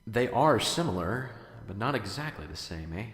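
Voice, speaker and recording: A man's voice: on the low side, big pitch swings, normal speed, fairly bright tone, roomy sound, long reverb tail. A man playing a hesitant, unsure professor in his thirties in a radio drama, stammering as he lectures. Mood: nervous, hesitant. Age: 30s. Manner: hesitant, stammering, nervous chuckles. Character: nervous, unsure young male philosophy professor.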